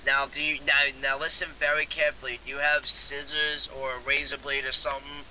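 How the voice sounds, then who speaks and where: A man's voice: medium pitch, level tone, brisk, lightly acted. A man playing an insistent, pleading security technician on a prank phone call, repeating himself. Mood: instructing. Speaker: prank caller. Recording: dull lo-fi phone recording with hiss.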